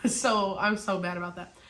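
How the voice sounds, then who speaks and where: A woman's voice: mid-range voice, fairly even intonation, unhurried, a bit bright. A young woman vlogging about her crochet business, chatty and upbeat, still a bit congested from a cold. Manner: chatty, rambling, upbeat, frequent "like" and "um". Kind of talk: casual chat to camera. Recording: echoey room.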